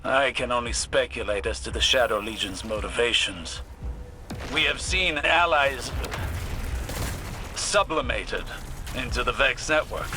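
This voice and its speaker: An actor playing a formal, stoic commander in a video game, giving measured and terse briefings. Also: male voice, medium pitch, noisy background, close to an everyday voice. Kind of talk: scripted video game acting.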